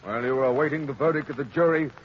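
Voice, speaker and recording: An actor playing a stern, formal trial judge with measured authority in a 1930s radio drama. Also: male voice, mid-range voice, a crowd in the background, close to an everyday voice. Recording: muffled, noisy 1930s radio recording.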